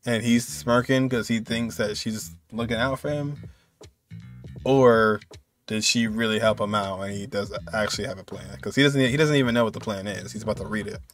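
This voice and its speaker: A man's voice: lowish voice, fairly even intonation, normal speed, fairly bright tone. A man in his twenties giving casual, musing reaction commentary as he watches a game. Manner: musing, conversational. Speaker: gamer reacting to cutscenes. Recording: game music faintly behind.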